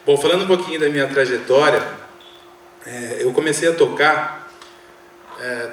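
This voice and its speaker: A man who plays the trombone looks back on his career, pausing and restarting as he goes. His voice is of middle pitch. He is heard from a distance in an echoey theater with faint noise behind him. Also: fairly bright tone. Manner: reflective, hesitant with fillers. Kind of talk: talk on stage. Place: theater hall. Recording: echoey theater, speaker far from mic.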